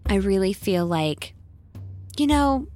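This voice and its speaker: A woman's voice: mid-range voice, lively intonation, takes it slowly, mellow, soft background music. A woman playing an earnest, rambling hippie homeschooling mother and larper, dreamy and self-satisfied, in a comedy audio drama interview. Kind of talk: mock reality-show audition interview in comedy audio drama.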